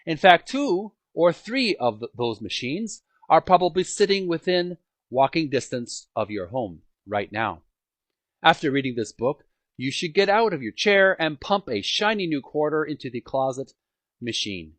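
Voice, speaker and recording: A man narrates from a book in an emphatic, dramatic way, breaking lines with pauses. His fairly high voice swings widely in pitch. The recording is dry and close, with music playing behind him. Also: very animated, no room sound. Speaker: host reading a book aloud. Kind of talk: scripted book narration, amateur read-aloud.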